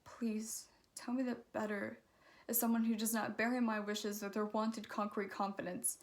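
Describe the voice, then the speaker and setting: A woman performing her own slam poem in an earnest, measured, emotive recitation. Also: on the high side, flat delivery. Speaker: slam poet.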